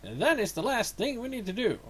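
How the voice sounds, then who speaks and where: Finnish streamer in his 30s, American accent, bright tone, chatty game commentary. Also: very high-pitched, sing-song, fairly quick, slightly rough. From Finland. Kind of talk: casual let's play commentary.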